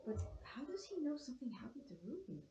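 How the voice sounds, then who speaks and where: An actress at a table read playing a scheming, scornful accomplice with a sarcastic, reproachful manner. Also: female voice, high-pitched, very animated, fast talker, dull audio. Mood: skeptical. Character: scheming, scornful woman accomplice, cold and exasperated. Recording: roomy echo with faint background noise.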